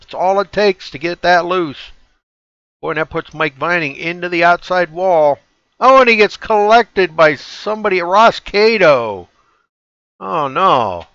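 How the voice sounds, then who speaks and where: Stuffed-up, excitable race commentator man. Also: high-pitched, clean tone, no room sound, muffled recording. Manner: excitable, folksy play-by-play. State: stuffed up from allergies. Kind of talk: live race play-by-play commentary.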